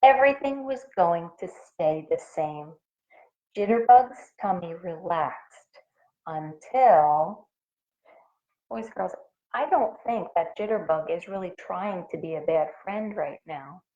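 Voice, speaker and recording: A woman reading a picture book aloud expressively to young children, pausing to explain and ask questions as a teacher would. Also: on the low side, expressive, warm tone, highs cut off.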